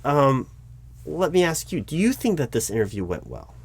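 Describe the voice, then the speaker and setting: A man doing a roleplay as a job interviewer, talking in a deliberate, dry way with frequent ums. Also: a little high, big pitch swings, gravelly, a little hiss or hum. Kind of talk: scripted ASMR roleplay.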